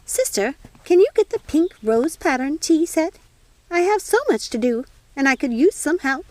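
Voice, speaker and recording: Prim bossy storybook fairy godmother, high squealy voice, scolds and fusses, dry close sound. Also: big pitch swings, moderate pace, darkish tone, no room sound. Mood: bossy, cross. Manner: squealing, chiding, effusive. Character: fussy, prim, bossy fairy godmother, easily vexed sister. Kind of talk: audiobook character voice.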